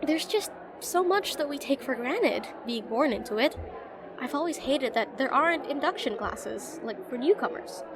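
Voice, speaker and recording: A woman's voice: sits high, expressive, normal speed, noisy background. A woman playing a shy, rambling young witch student in a slice-of-life fantasy audio roleplay, chatty, hesitant and self-deprecating. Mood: awkward, apologetic. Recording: voice over busy classroom crowd ambience.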